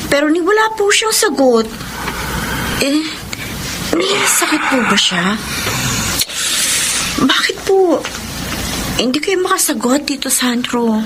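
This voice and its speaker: An actress playing a devoted young woman friend in an emotional, expressive Filipino radio drama. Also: high voice, slow, long pauses, background noise. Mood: puzzled, concerned.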